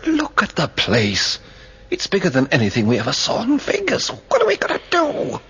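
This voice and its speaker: Very husky, nervous impostor. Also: male voice, high voice, wildly swooping pitch, normal speed, sharp tone, lo-fi sound, exaggerated voice. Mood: anxious. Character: nervous, queasy man posing as rich playboy. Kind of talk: radio drama acting.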